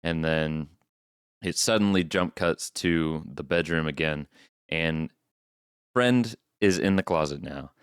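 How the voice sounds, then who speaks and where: A man co-hosting a podcast, casually recapping videos in halting explanations. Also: very deep voice, clean tone, dry recording, studio quality. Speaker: podcast co-host.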